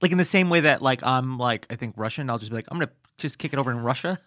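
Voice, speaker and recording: A man hosting a podcast, teasing his guest in quick comic banter on a close mic. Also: mid-range voice, expressive, fairly bright tone, no echo. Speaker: podcast host. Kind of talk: casual comedic chat.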